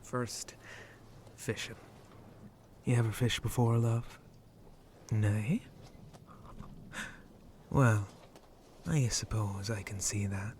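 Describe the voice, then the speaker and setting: A man playing a flirty, roguish shark-man pirate captain in an ASMR roleplay, with a breathy, often whispered voice and teasing pirate speech. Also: steady tone, a little mellow, a little hiss or hum. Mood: instructive, roguish. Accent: put-on pirate speech. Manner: slow, teasing, drawling pirate talk with low chuckles. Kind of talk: ASMR roleplay addressed to the listener.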